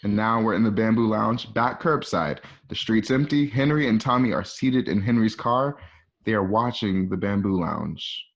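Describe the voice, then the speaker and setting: A man's voice: fairly low pitch, normal speed, creaky. A man reading scripted scene descriptions as the narrator of a radio play. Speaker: narrator reading stage directions. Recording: muffled home recording.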